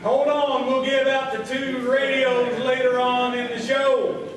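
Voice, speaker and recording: A man's voice: very high voice, level tone, a little slow. A man playing a folksy small-town host of a live radio variety comedy show, with showman's patter. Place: live show before audience in hall. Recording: echoey hall, distant, noisy live stage.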